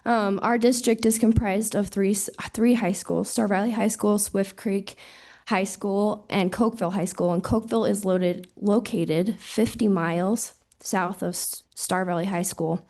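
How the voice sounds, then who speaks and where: A woman's voice: medium pitch, steady tone, measured pace, darkish tone. A woman who has lost her voice, reading earnest, proud public testimony as a parent at a hearing, into a desk microphone. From Wyoming. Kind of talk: prepared public comment.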